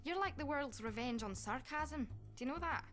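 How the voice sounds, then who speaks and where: A sarcastic staffer at a school for the occult. Her voice sits on the high side and her delivery is dry, wry and informal. It plays as a video game cutscene over faint music. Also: lively intonation, moderate pace, fairly bright tone. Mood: dry, teasing.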